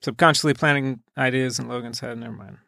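A man co-hosting a casual podcast in an offhand, deadpan way. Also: mid-range voice, quick pace, creaky, no room sound, clean studio sound. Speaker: podcast co-host. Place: recorded remotely over a voice chat app.

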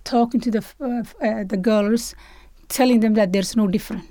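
A woman with a Somali accent and a fairly high voice talks as an interview guest. She speaks earnestly, in short non-native English phrases, and the recording is dry and close. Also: fairly even intonation, a little fast, dark tone, no room sound. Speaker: interview guest, basketball coach and organiser. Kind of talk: conversational interview storytelling.

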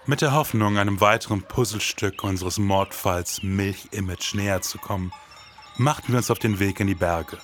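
An actor playing a laid-back, idealistic detective in a scripted noir audio drama, with casual, colloquial speech. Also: male voice, on the low side, normal speed, fairly bright tone, soft background music, close to an everyday voice. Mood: noir, deadpan.

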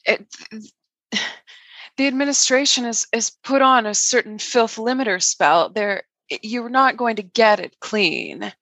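A woman's voice: a little high, measured pace, fairly bright tone, no echo. A woman playing an easygoing, philosophical school staffer in improvised comedy, talking in a wry, reassuring way. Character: easygoing, philosophical school staffer named Tyler, consoling a cleaner.

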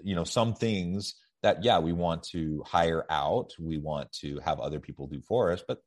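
A man's voice: low-pitched, normal speed. A man hosting a podcast interview in a conversational, agreeable manner, feeling his way through his phrases. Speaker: podcast host, university professor.

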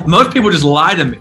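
A man hosting an interview podcast, upbeat and enthusiastic, with quick eager reactions. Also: sits high. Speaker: podcast host. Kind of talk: casual interview.

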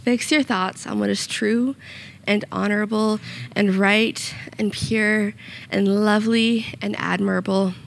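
Young woman, slightly raspy, gravelly edge, earnest sermon, faint background noise. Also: medium pitch, fairly even intonation, measured pace. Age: young adult. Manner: earnest and personal, with small self-conscious asides. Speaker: youth speaker giving a short sermon. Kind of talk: sermon with scripture reading and prayer. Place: church room.

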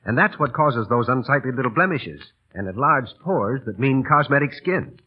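A man's voice: mid-range voice, animated, normal speed, husky. A man reading a scripted 1930s radio advertisement as the show's announcer. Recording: muffled old 1930s radio recording.

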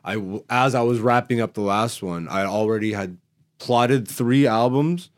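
A man's voice: lowish voice, normal speed. A man who works as a stand-up comedian and podcast host, telling stories in casual, slangy, profane and emphatic talk. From born in Greece, Ethiopian by blood. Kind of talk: casual, animated podcast chat.

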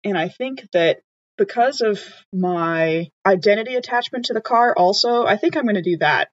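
A woman's voice: mid-range voice, lively intonation, moderate pace, no echo. A woman playing a tabletop game, chatting casually as she thinks aloud about her character, on a slightly muffled microphone.

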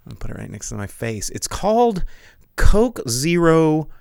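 A man's voice: a little high, very animated, normal speed, creaky, darkish tone, smooth, no echo, clean studio sound. A man hosting a podcast livestream in a casual, rambling way, with lots of ums and restarts and a loose, jokey tone. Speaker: podcast host.

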